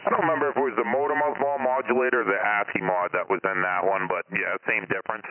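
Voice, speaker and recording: A man's voice: lowish voice, fairly even intonation, fast talker. A man chatting casually over CB radio, explaining technical things and swearing now and then. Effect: CB radio sideband transmission. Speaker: CB radio operator. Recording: narrow, hissy CB radio signal.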